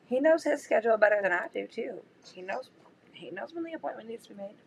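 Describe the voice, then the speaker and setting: A woman's voice: fairly high pitch, animated, fast, gravelly, a little hiss or hum. A woman in casual banter, blunt and teasing, swearing now and then as she talks. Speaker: podcast co-host.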